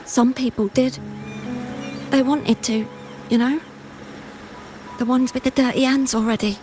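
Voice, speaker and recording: A woman's voice: high voice, fairly even intonation, measured pace. An actress voicing a timid, desperate young vagrant girl in a video game, with a hesitant, earnest manner. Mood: bitter, hurt. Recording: studio voice under game music.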